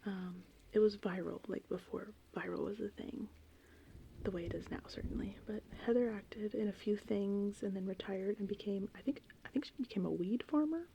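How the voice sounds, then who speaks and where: A woman rambling casually and informally in an ASMR style, with a soft, breathy voice that often slips into a whisper. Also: medium pitch, moderate pace, slight background noise, poor audio. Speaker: ASMR host. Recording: steady rain and thunder ambience. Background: gentle rain and thunderstorm sounds.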